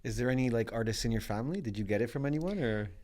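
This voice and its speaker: A man, hosting a podcast and asking relaxed, casual questions. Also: mid-range voice, rapid-fire. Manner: relaxed, short prompts and acknowledgments. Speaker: podcast host.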